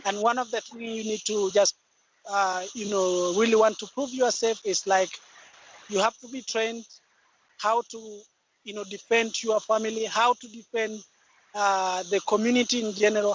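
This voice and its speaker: High-voiced Tanzanian man on a muffled call. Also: moderate pace. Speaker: guest conservationist. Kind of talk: informal personal account in an online talk. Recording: muffled internet call with steady background noise.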